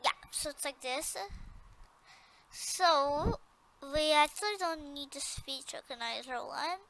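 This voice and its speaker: Tutorial presenter, female, notably high pitch, unhurried pace, informal and hesitant, repeats each step. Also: fairly bright tone, poor audio. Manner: hesitant, repeats instructions as she goes.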